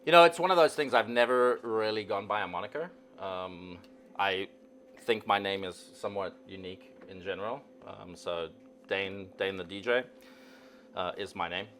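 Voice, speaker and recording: Bright-voiced South African man, chatting casually. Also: on the low side, normal speed, slight background noise. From South Africa and Zimbabwe. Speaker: interview guest, DJ. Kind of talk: casual chat.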